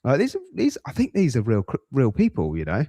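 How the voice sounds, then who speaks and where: A man's voice: mid-range voice, wildly swooping pitch, fairly quick, dark tone, no echo, studio quality. A man hosting a podcast in a casual, rambling way, often breaking off into asides. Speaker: podcast host. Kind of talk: casual reaction chat.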